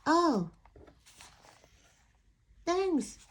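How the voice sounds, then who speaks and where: A woman voicing a small, polite, innocent duckling in a soft, simple storybook manner. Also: very high-pitched, very animated, extremely slow, voice pushed up, heavily acted. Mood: sweet, polite. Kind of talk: picture book read-aloud character.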